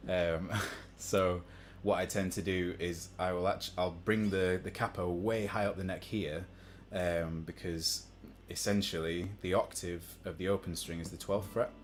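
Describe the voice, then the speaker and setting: Deep-voiced man chatting casually. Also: unhurried, hardly any pauses, slight background noise. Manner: relaxed, rambling with many ums. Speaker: musician hosting a live stream. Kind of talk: casual chat to viewers between songs. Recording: faint guitar behind.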